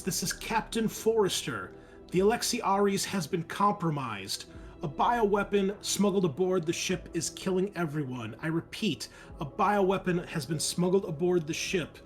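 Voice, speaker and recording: A man's voice: high-pitched, measured pace, faint music behind, pitched above the performer's own voice. A man playing a commanding female starship captain giving a formal, urgent military announcement in a tabletop game.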